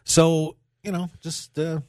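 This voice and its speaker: A man's voice: on the high side, little pitch movement, slow, a little mellow, no echo, clean studio sound. A man hosting a sports talk radio show in a casual, conversational way, addressing his listeners directly.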